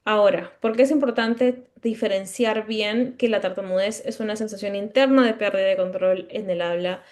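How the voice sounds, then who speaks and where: A woman gives a conference lecture as a speech-language pathologist, in a voice of middling pitch for a woman. She explains patiently and checks in with her listeners now and then. Now and then she restarts a word partway through. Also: steady tone, normal speed, a little mellow. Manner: explanatory, asks the audience checking questions; stutters at times, mid-word restarts.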